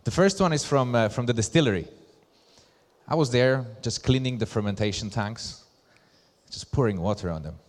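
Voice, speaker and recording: A man from Slovakia with a Slovak accent, giving a conference presentation as a brand ambassador in deliberate, emphatic short phrases. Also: mid-range voice, lively intonation. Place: large hall at a live event. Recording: echoey hall with long reverb.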